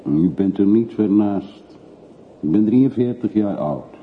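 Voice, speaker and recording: A man's voice: fairly low pitch, animated, slow pace. An actor playing a reserved, brooding traveller in his forties in a radio play, terse and guarded. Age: 40s. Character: reserved, brooding traveller of forty-three, reluctantly back home. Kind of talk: radio drama acting. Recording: muffled narrowband radio recording with hiss.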